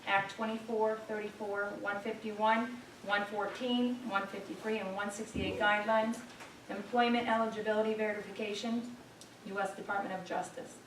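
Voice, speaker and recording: A woman's voice: medium pitch, flat delivery, normal speed, a bit bright. A woman who is a board member reads formal motions and lists aloud at a meeting, away from the microphone. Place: meeting room. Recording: echoey noisy room, distant microphone.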